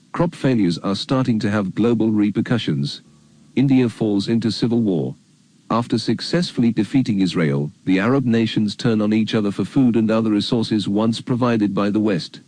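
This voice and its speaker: Male narrator, lowish and very mellow, for a sober educational filmstrip; measured, factual, scripted read. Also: rough recording. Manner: grave, measured, matter-of-fact reading.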